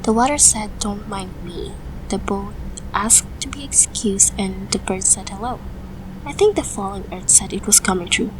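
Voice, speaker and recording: A woman's voice: medium pitch, moderate pace, noisy background, close to an everyday voice. A woman playing a stubborn, weary teenage girl mage in an audio drama, defensive and given to sighing. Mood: sheepish.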